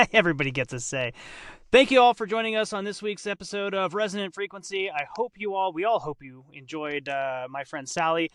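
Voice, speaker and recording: A man with a fairly high voice hosts a casual group podcast. He is jokey and rephrases himself as he goes. The sound is dry, with no room echo. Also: a little fast, a bit bright. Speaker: podcast host.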